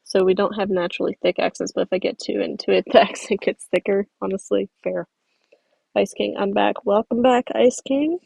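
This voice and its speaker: A woman's voice: mid-range voice, steady tone, a little fast, vocal fry, warm tone, breathy edge, dry recording. A young woman from the American South, chatting casually on a livestream while reading out viewer comments. She rambles in a friendly way, uses many fillers and laughs easily. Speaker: stop-motion creator streaming.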